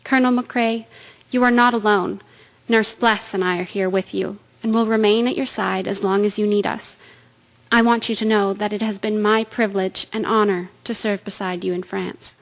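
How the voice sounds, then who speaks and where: A woman's voice: medium pitch, steady tone, close to an everyday voice, low-quality recording. Composed, devoted wartime nurse, played by a woman. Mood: tender, solemn. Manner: formal, soothing, measured. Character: devoted, gentle, composed female wartime nursing sister. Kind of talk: radio drama acting.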